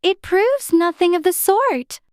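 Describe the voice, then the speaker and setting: A narrator voicing an outspoken, indignant little girl in a storybook, pert and quick to contradict. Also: very high voice, expressive, no echo, clean studio sound, pitched above the performer's own voice. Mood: defiant.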